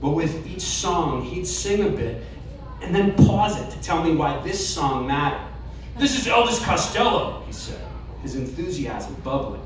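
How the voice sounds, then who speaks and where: A man giving a live reading of a personal story to an audience, earnest and sometimes slowing to a hushed tone. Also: a little high, big pitch swings, slight background noise. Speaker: story reader. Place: live reading event in a bar. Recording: echoey room, distant mic.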